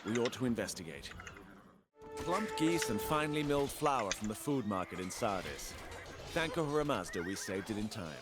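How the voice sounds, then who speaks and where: Stern Persian noble commander played by a man, animated delivery. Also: on the low side, normal speed, loud music behind the voice, lightly acted. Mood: measured, resolute. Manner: formal, authoritative. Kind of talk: dramatic video game dialogue.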